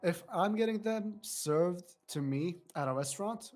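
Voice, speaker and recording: A man's voice: high-pitched, animated, unhurried, a little mellow, soft background music. A man as a guest in a casual live-stream chat, his voice strained and cracking, laughing often and repeating words.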